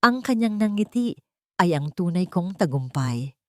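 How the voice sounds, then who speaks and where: Female Filipino story narrator, slightly low register, scripted first-person drama, no room sound. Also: big pitch swings, a little slow, darkish tone, no echo, clean studio sound.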